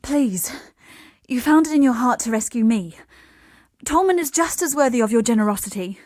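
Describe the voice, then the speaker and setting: Woman acting a high-voiced, grieving exile woman. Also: lively intonation, darkish tone, no echo, clean studio sound, natural voice. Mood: urgent, pleading. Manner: earnest, subdued, halting. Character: grieving young woman exile, earnest and guarded. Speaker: game non-player character. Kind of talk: dramatic video game voice acting.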